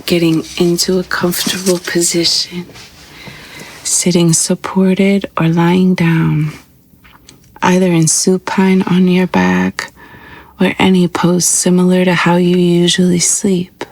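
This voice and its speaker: Woman doing a sleep meditation, super slow and flat, husky gravelly voice, soft and hushed. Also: fairly low pitch. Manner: slow, soothing, hushed delivery with long pauses. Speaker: yoga nidra meditation guide. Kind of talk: guided sleep meditation, scripted.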